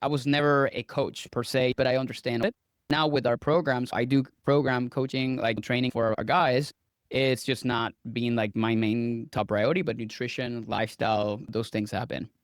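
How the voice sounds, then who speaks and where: A man's voice: mid-range voice, steady tone, moderate pace, dry recording, clean studio sound. Earnest man in his thirties. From Venezuela. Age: 30s. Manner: earnest, storytelling, occasional self-repeats. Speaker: guest dietitian. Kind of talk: interview answers.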